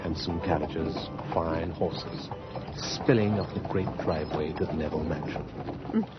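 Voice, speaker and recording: A man playing an observant, formal gentleman with a measured, wry manner in a radio drama. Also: very deep voice. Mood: hushed, descriptive. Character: observant, wry gentleman attendant to a great lady. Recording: muffled old radio recording with music.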